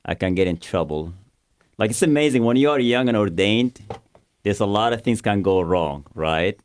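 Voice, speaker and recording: A man's voice: fairly low pitch, animated, normal speed. A young man from Sudan with a Sudanese accent, chatting casually and joking about himself on a podcast. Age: young adult. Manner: laughing, joking, self-deprecating. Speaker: pastor, co-host.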